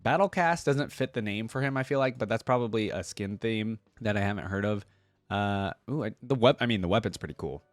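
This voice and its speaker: A man's voice: fairly low pitch, brisk, no room sound. A man giving casual, off-the-cuff reaction commentary, informal and opinionated as he thinks aloud. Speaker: YouTube reaction host.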